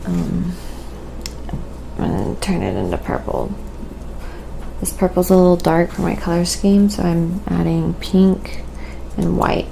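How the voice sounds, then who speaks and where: A woman with a mellow, softly spoken voice that crackles with vocal fry. She muses aloud in an unhurried, casual way, as if hosting a relaxed ASMR art stream, with faint noise in the background. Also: on the low side. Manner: unhurried, musing aloud while working. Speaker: livestream host, painter. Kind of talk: casual ASMR painting livestream chat.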